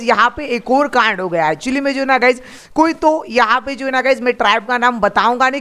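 High-voiced chatty young gaming host. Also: male voice, a bit bright. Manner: chatty, fills every phrase with "guys" and "bhai". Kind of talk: casual let's-play commentary.

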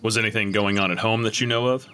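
A man's voice: lowish voice, steady tone, fast talker, sharp tone. A man playing a world-weary, skeptical murder investigator in an audio drama, terse and matter-of-fact. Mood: weary, probing. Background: music.

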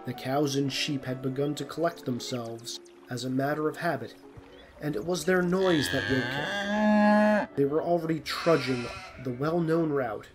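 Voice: mid-range voice, a little slow. Storyteller over plucked string music. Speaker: narrator. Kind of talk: scripted storybook narration.

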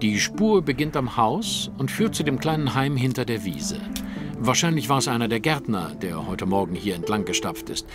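An actor playing a cool, analytical and self-assured detective in a German audio drama, with precise, formal diction. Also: male voice, medium pitch, sing-song, normal speed, music in the background, lightly acted. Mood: observant, assured.

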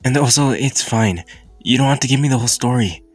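A man playing a shy, kind teenage hero-school boy in an anime ASMR roleplay, speaking haltingly and apologetically, with stammers. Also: mid-range voice, no echo, faint music behind, natural voice. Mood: gentle, reassuring.

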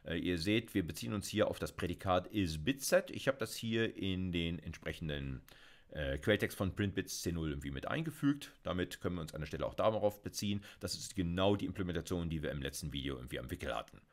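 Man, deep low voice, middle-aged, chatty video lecturer, close mic, keyboard clicks behind. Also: quick pace. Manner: relaxed, chatty, frequent fillers and self-corrections. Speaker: university lecturer. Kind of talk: casual recorded video lecture with live coding.

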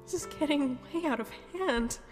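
A woman's voice: high-pitched, moderate pace, darkish tone, soft background music, close to an everyday voice. A woman voicing an insecure, jealous, hot-tempered nymph in an emotional webcomic dub. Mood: anxious, frustrated. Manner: emotional, swinging from brooding to outbursts. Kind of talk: dramatic webcomic dub acting.

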